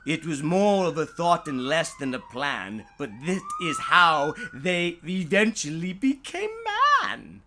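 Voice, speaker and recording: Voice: medium pitch, huge pitch swings, slow, few pauses, background noise. A storyteller reading rhyming tales for children in a playful, dramatic way, drawing out words and sometimes dropping toward a whisper. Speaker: storyteller reading own stories.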